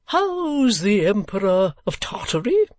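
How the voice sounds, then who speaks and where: A reader voicing a mad old gentleman from a storybook, grandly eccentric, with a pompous, drawn-out delivery. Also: mid-range voice, sing-song, no echo, pitched above the performer's own voice. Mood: eager, grand. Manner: drawn-out, eagerly pompous, swooping.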